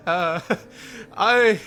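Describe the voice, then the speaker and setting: A man's voice: high-pitched, sharp tone. A man livestreaming a visual novel, reading aloud theatrically and breaking off for playful, jokey asides. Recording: music behind the voice. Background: faint music.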